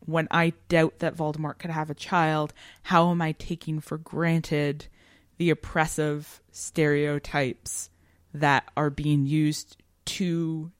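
A woman's voice: low voice, little pitch movement, slow, no echo, clean studio sound. A woman co-hosting a podcast, discussing literature conversationally in an analytical, academic way. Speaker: podcast co-host.

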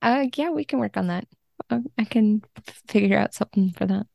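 A woman's voice: medium pitch, a little fast, creaky, a little mellow, no echo. A woman talking casually and enthusiastically as a guest on a podcast. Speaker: podcast guest, book translator. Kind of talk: casual chat.

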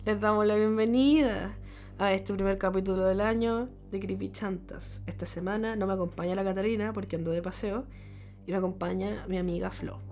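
A Chilean woman hosting a podcast in casual chat, her voice nasal and raspy while she gets over a cough, swearing freely and laughing often. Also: medium pitch, steady tone, fairly quick, darkish tone, faint music behind. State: recovering from a cough. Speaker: podcast host.

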